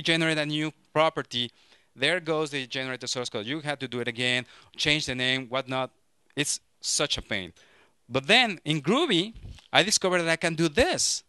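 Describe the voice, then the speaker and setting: A man in his thirties from Mexico with a Mexican Spanish accent, giving a lively, joking technical talk to a conference audience through a handheld microphone. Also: fairly high pitch, clear, resonant tone, dry recording, studio quality. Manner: lively, joking, frequent restarts. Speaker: conference speaker.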